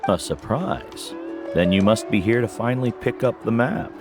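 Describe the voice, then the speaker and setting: Brief: actor as a protective older teacher, deep and slow-spoken, over soft game music. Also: male voice, animated, close to an everyday voice. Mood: fond. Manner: slow, measured and gentle. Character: older male mentor, calm and protective. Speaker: teacher and guide. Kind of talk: video game voice acting. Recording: game audio with faint music.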